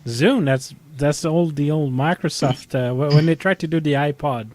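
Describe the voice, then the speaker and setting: A man's voice: on the high side, moderate pace, a little mellow, no echo. A man co-hosting a podcast over an internet call, chatting casually and informally. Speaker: podcast co-host. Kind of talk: casual chat.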